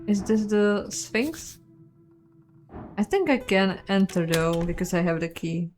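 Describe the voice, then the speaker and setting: A woman's voice: medium pitch, expressive, slow pace, darkish tone, soft background music. A woman giving casual playthrough commentary on a game, musing aloud and questioning herself. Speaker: game streamer and narrator.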